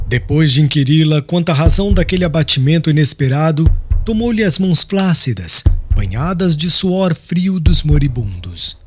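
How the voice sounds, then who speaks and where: Casting: male audiobook narrator, slightly high voice, very varied intonation, solemn dramatic reading over soft music.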